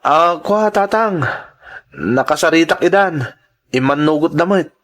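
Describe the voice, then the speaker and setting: A man's voice: fairly high pitch, animated, measured pace, fairly bright tone. A man with a Filipino accent playing an earnest, worried migrant worker in an Ilocano radio drama, speaking in a hesitant, respectful way. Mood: evasive, reassuring. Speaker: voice actor.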